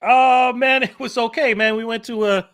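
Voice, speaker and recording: A man's voice: very high-pitched, steady tone, moderate pace, a bit bright, clear, resonant tone. A man co-hosting a football watchalong in a laid-back, casual way, often tacking "man" onto his sentences. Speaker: co-host. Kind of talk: casual match chat.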